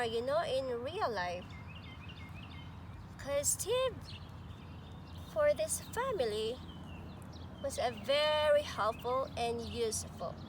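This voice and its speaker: Woman, pre-K storytime reader: high voice, expressive, pauses for questions to little listeners, noisy amateur recording. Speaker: pre-K teacher reading aloud to children. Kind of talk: expressive picture-book read-aloud with asides.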